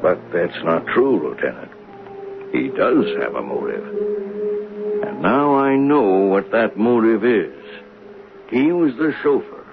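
Low-voiced folksy train conductor, tinny recording. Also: male voice, very animated, takes it slowly, creaky, long pauses, over music, exaggerated voice. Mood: musing, recounting. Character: talkative male train conductor recounting a murder. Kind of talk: 1970s radio drama acting, first-person narration. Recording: noisy tinny narrow-band old radio recording.

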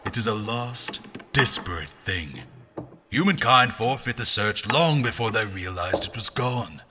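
A brooding, bitter lord of a fantasy audio drama, speaking slowly in a fairly low voice. He is theatrical and archaic, halting between grand phrases, over noticeable background noise. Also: expressive. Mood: reverent, solemn. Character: brooding, grandiose man, weary and bitter, musing alone.

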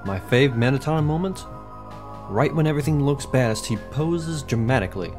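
A man voicing an excitable monster who is a devoted fan, in a video game. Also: medium pitch, normal speed, music in the background, lightly acted. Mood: eager, admiring.

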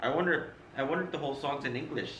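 A young man chatting casually as co-host of a reaction video, relaxed and trailing off mid-sentence. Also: fairly high pitch, rapid-fire, crowd noise. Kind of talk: casual chat. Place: small room indoors, seated in gaming chairs. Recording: echoey room with faint noise.

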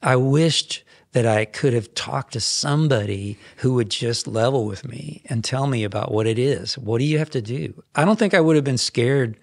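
A man in his fifties, a songwriter guest in a podcast studio, telling stories in a rambling, animated way with frequent restarts. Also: mid-range voice, normal speed, few pauses, no echo. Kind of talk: casual interview storytelling. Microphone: headphones and boom mic.

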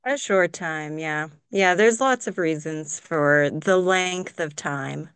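Unhurried, smooth-voiced woman. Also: deep voice, fairly bright tone, no echo. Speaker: podcast co-host. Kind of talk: casual podcast chat.

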